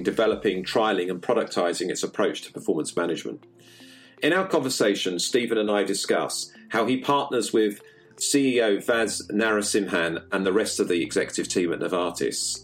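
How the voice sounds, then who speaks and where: Male podcast host, lowish voice, measured and scripted, faint music underneath. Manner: measured, list-like delivery with read-out ad copy. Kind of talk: scripted podcast intro and interview questions.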